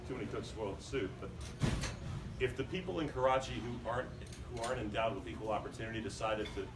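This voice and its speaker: Emphatic male speaker at a press event, low voice, heard from afar in a noisy hall. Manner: emphatic, speaks in long run-on sentences with restarts. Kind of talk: impromptu answers at a press conference. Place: conference room. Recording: echoey room picked up from a distance with noise.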